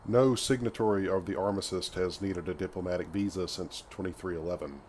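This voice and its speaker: A man voicing a courteous, earnest space adventurer, measured and articulate, over a video game visual novel. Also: sits low, fairly even intonation, a little mellow, slight background noise, lightly acted. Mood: earnest, helpful.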